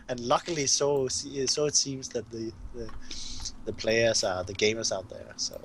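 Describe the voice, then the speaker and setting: A man from Denmark with a Danish accent, a game designer chatting casually and haltingly while playing a board game. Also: mid-range voice, fairly even intonation, a bit bright, a little hiss or hum, rough recording.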